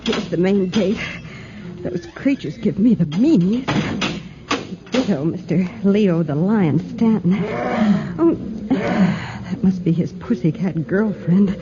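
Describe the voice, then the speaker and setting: A woman's voice: medium pitch, expressive, slow. An actress playing a plucky, jittery young American woman in a 1940s radio drama, slangy and given to breathless worrying. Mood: nervous, worried. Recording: muffled, noisy 1940s radio recording.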